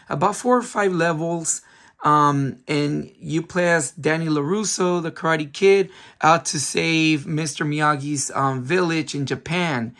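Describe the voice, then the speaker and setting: Hoarse, chatty man reviewing games. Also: on the high side, fairly bright tone. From San Francisco Bay Area. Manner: chatty, many ums, restarts himself. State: hoarse, recovering voice after a cold. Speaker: retro game collector channel host. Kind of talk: casual video game review.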